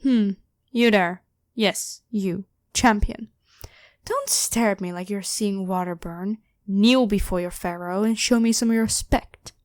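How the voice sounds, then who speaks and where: Woman acting as evil Egyptian pharaoh queen, ancient, haughty, controlling, theatrical delivery, medium pitch, dry close recording, ASMR roleplay. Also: takes it slowly, no echo, close to an everyday voice. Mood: haughty, commanding. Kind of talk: scripted ASMR roleplay monologue.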